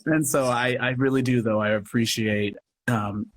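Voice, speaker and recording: A man's voice: mid-range voice, steady tone, moderate pace. A man talking casually as an interview guest, earnest and effusive, often circling back over his phrases on a live call. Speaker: guest, radio play producer. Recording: noisy livestream call.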